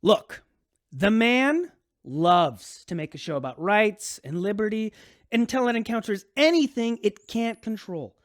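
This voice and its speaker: A woman voicing a relaxed, rebellious father of a teenage girl in a radio drama, talking in a lecturing way. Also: medium pitch, unhurried, dry recording, studio quality, natural voice. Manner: laid-back, lecturing.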